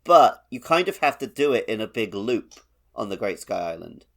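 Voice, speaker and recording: A man's voice: on the low side, very animated, moderate pace, vocal fry, fairly bright tone. A man co-hosting a video game podcast, talking things over in casual chat. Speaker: podcast co-host.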